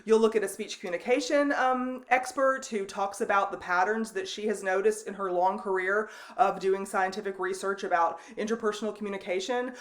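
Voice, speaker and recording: Woman giving a loose recorded lecture. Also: medium pitch. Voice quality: loud voice. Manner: loose and conversational, many ums and restarts. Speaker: college instructor.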